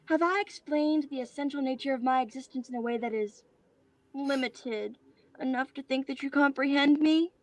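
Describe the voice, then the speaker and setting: A woman playing a godlike bound artificial being in a play reading, speaking in a formal, measured, detached way. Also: sits high, no echo, close to an everyday voice, poor audio. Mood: probing. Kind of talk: virtual staged play reading.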